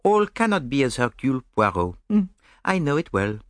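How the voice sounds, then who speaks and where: A man's voice: mid-range voice, sing-song, normal speed, no room sound, clean studio sound, pitched above the performer's own voice, lightly acted. A man voicing a vain, fussy middle-aged Belgian detective with a Belgian French accent and a smug, theatrical manner. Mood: vain lecturing.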